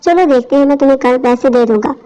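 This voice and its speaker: Woman voicing a sweet-talking young bus conductor, high-pitched. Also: fast, warm tone, close to an everyday voice. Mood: teasing. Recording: dull lo-fi audio.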